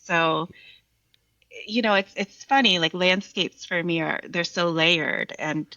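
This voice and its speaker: A woman's voice: on the low side, slow pace, sharp tone, no room sound, lo-fi sound. A woman from Virginia speaking as a podcast guest in thoughtful, casual conversation. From Virginia, United States. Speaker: podcast guest, poet and scholar.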